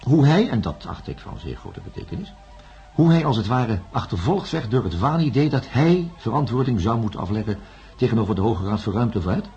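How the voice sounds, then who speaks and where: A man playing an authoritative hospital doctor in a radio drama, calming but probing. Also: medium pitch, brisk, lo-fi sound, soft background music, lightly acted. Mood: measured, reflective. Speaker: actor playing a psychiatrist-narrator. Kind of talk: radio drama acting and first-person narration.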